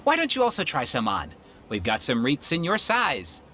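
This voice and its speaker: A woman's voice: very deep voice, moderate pace, exaggerated voice. A woman playing a playful, teasing, outgoing young townswoman in a video game. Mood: reassuring. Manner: teasing, animated.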